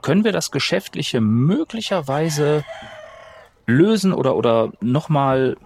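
A man's voice: fairly high pitch, lively intonation, measured pace, gravelly, a little mellow, a little hiss or hum. A man playing a tabletop roleplaying game, improvising conversationally in character.

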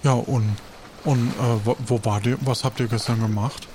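A man's voice: medium pitch, fairly even intonation, moderate pace, noisy background, lightly acted. A man playing an anxious, helpful pirate crewman in an improvised roleplay, repeating himself and asking questions.

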